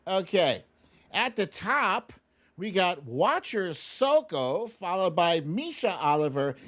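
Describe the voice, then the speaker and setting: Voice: mid-range voice, big pitch swings, slow, a bit bright. A livestream host chatting casually and reading out names in a playful, informal, self-deprecating way. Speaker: livestream host running a song-guessing game.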